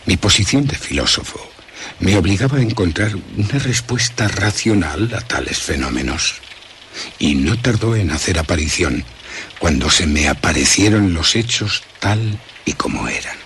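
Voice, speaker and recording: A man's voice: sits low, expressive, moderate pace, a bit bright, a little hiss or hum. A man playing an anxious philosopher who recounts a night of terror in a solemn, literary, suspenseful radio drama narration. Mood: fearful, suspenseful. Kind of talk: dramatic first-person horror radio narration.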